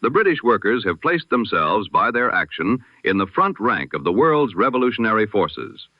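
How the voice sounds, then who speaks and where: Man, formal old-time radio newsreader, low voice, lively intonation, dull lo-fi dry sound. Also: no echo. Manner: measured, formal announcer delivery. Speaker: news announcer.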